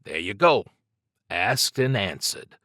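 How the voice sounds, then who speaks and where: A man's voice: fairly low pitch, lively intonation, slow, sharp tone, no room sound, clean studio sound, exaggerated voice. A man voicing a nervous, evasive small-time crook with slangy, flippant bravado in an audiobook. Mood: evasive, defensive.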